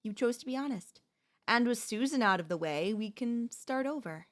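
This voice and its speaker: A woman playing a possessive, manipulative wife in an ASMR audio roleplay, speaking to the listener in a sweetly condescending, deliberate, theatrical way. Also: fairly high pitch, expressive, close to an everyday voice. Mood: smug, chilling calm. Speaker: voice actress.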